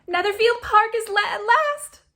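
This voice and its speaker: A woman voicing a giddy, excitable teenage sister in a storybook, breathless and gushing. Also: extremely high pitch, moderate pace, pitched above the performer's own voice. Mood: giddy, bursting.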